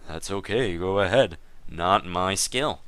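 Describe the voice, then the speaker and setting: A man playing a smug, insistent accuser in a dramatic reading of a gaming feud, measured and condescending. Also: low-pitched, fairly bright tone, clear, resonant tone, dry recording.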